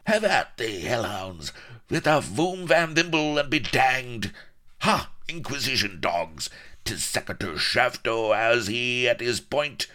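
A man's voice: mid-range voice, sing-song, slow pace, sharp tone, pitched above the performer's own voice, heavily acted. A man voicing a ragged, wizened old male castaway who blusters in a quavering yokel way. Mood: blustering. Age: older.

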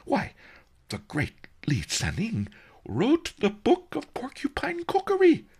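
A huge, gluttonous storybook merchant, voiced by a male reader. He speaks in a raised, husky, gasping voice, anxious and fawningly deferential, with very animated swoops. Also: on the high side, unhurried, pitched above the performer's own voice, full character voice. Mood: aghast.

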